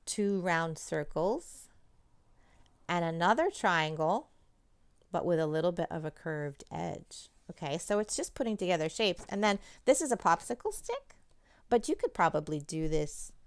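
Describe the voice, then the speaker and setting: Woman, lowish creaky voice, dry close sound, casual kids' craft instruction, encouraging, chatty. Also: sing-song, measured pace, no room sound. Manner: encouraging, chatty, addressing young viewers directly. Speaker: children's author presenting craft activities. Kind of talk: casual instructional talk to children. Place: indoors at home, at a table.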